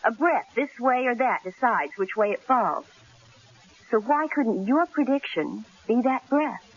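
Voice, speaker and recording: Animated, earnest newspaper secretary, old radio. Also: female voice, a little high, measured pace. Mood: earnest, uneasy. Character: sharp, earnest female newspaper secretary, affectionate but uneasy. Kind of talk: radio drama acting. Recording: muffled old radio broadcast with hiss.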